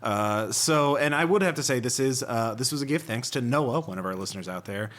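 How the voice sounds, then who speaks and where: An American man hosting a casual podcast chat in a halting, wry way with some swearing. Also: mid-range voice, animated, a bit bright. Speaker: podcast host.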